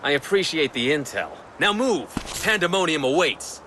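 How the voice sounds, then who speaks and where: An actor voicing a stern, sharp-minded video game hero, serious and suspicious, with a clipped, commanding manner. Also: male voice, on the high side, very animated, moderate pace, slight background noise. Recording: music under the voice.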